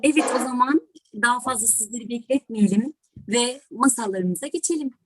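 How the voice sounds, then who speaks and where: A woman's voice: a little high, a little fast, noisy background. A woman hosting an online event, speaking in a polite, formal, thankful manner. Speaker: host and teacher. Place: online video call.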